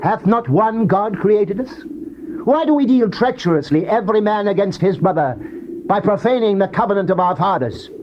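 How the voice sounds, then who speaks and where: High-voiced man declaiming scripture, dull tape. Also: animated, moderate pace. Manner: solemn, declamatory, theatrical. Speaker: scripture narrator. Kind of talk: dramatized King James Bible reading. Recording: dull, noisy old cassette tape.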